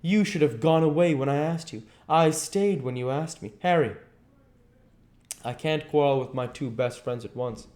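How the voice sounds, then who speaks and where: A man's voice: on the high side, moderate pace, a little mellow, voice pushed up, natural voice. A man voicing an earnest, wounded painter who is hurt by his friends.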